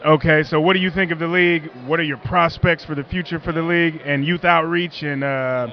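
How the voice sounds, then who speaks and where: Brief: talkative male interviewer, a bit high-pitched, joking, muffled outdoor sound. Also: steady tone, fairly quick, a little hiss or hum. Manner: chatty, joking, rambling questions.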